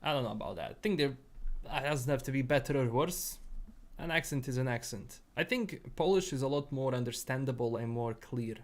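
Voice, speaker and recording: Young bosnian guy streaming, rambly, lots of uh and um, mid pitch. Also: brisk. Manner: rambling, many fillers and restarts. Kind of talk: casual livestream chat, reading chat.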